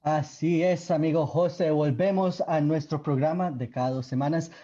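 A young man co-hosts a campus radio show, his voice a touch high and mellow. He talks in a casual, upbeat way, with small fillers along the way. The recording sounds somewhat dull and lo-fi. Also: steady tone, normal speed. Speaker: radio co-host.

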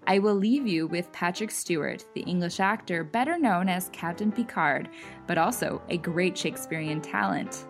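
A woman hosting a radio theater show, speaking in a measured, gentle way as she interviews a guest and signs off. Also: on the low side. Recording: radio studio with music bed. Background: background music.

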